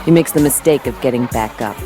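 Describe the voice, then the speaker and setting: A woman's voice: a bass voice, brisk. A woman narrating a hardboiled radio play as the tough, wisecracking detective lead. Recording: radio play with music underneath.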